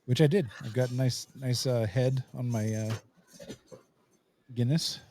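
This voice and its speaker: A man's voice: medium pitch, a little slow, dark tone, a little hiss or hum. A man hosting a podcast with casual, conversational banter. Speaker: podcast host.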